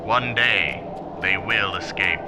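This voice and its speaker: An actor playing an alien sage who solemnly foretells doom in a radio play. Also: male voice, on the low side, slow pace, gravelly, music in the background. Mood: ominous.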